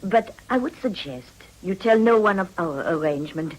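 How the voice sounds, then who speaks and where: An actress playing a shrewd, worldly madam in a period radio drama, wheedling in a deliberate, genteel and businesslike way. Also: female voice, mid-range voice, animated, close to an everyday voice, rough recording. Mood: silky, insinuating.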